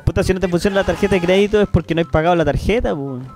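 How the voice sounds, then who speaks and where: A young Chilean man with a Chilean accent, chatting casually and loudly on a gaming livestream, swearing freely. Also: high-pitched, racing, music in the background. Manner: loud, excitable, swears freely. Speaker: streamer.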